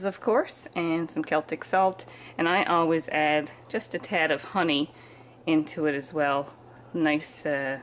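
A woman's voice: low voice, a little hiss or hum. A middle-aged woman chatting casually through a homesteading kitchen vlog, plainly explaining each step while filming in her home kitchen on a handheld camera. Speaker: homesteading vlogger.

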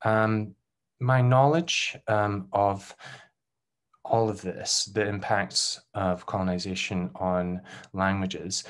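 A young Scottish man giving a recorded conference talk over a webcam, measured and careful, with frequent um fillers. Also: sits low. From Scotland. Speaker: doctoral researcher presenting.

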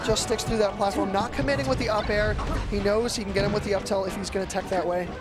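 A young man calls a live gaming match with quick, excitable play-by-play, his voice sitting high for a man. His headset picks him up clearly over loud game music at the tournament venue. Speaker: esports commentator.

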